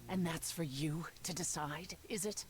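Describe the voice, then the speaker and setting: A woman's voice: low-pitched, unhurried, slight background noise. An actress playing an imperious, indignant goddess queen in a dramatic video game scene. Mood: indignant, cutting.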